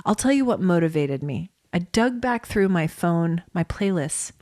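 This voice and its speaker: A woman in her forties co-hosting a podcast, telling stories in casual, animated, self-mocking banter. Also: fairly low pitch, moderate pace, no echo, clean studio sound. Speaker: podcast co-host.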